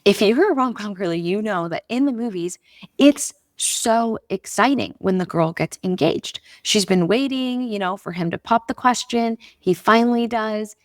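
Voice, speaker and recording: A young woman hosting a casual faith podcast, talking softly to spare a strained, slightly raspy voice in an earnest, encouraging, chatty way. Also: medium pitch, moderate pace, no echo, clean studio sound. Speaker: podcast host.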